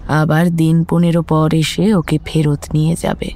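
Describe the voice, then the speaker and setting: A woman's voice: deep voice, little pitch movement, measured pace, mellow. A woman playing a composed, forthright grandmother in her seventies in an audio drama, explaining things firmly. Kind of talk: dramatised audio story acting.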